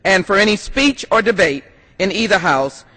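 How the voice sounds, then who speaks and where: A man's voice: sits high, animated, moderate pace, bright, forceful tone. An American congressman giving a formal reading of a legal text in a large chamber. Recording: reverberant legislative chamber.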